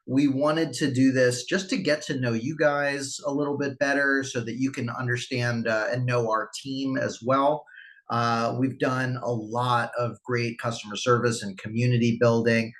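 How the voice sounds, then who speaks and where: A man's voice: medium pitch, flat delivery, moderate pace, a bit bright. A man, a company CEO, hosting a casual online town hall meeting, friendly and rambling with many fillers.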